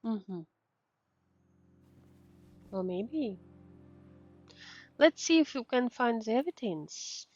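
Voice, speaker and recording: A woman's voice: a little high, lively intonation, very slow, pauses often, darkish tone, dry recording, dull audio. A woman game streamer giving casual commentary in short murmured reactions and reading dialogue aloud. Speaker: streamer playing a game as a VTuber. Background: game music.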